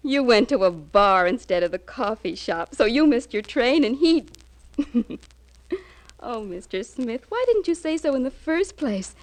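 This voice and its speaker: High-voiced, earnest radio drama wife. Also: female voice, lively intonation, a little slow. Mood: relieved, fussing. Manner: earnest, emotional, insistent. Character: trusting, anxious, fiercely loyal small-town wife. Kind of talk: 1950s radio crime drama acting.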